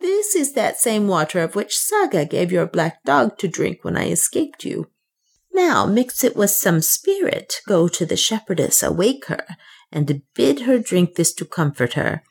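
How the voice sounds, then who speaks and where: A woman voicing a desperate, secretive servant woman in a storybook reading, speaking quietly and insistently. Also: mid-range voice, sing-song, a little slow, creaky, slightly rough, pitched above the performer's own voice, heavily acted. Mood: scheming.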